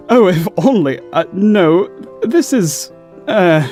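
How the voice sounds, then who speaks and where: A man's voice: high-pitched, sing-song, drawn-out words, a little mellow, soft background music, heavily acted. A man playing a formal, awkward watchman who speaks with hesitant courtesy in a fantasy audio drama. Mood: flustered. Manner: hesitant, polite, stiffly courteous.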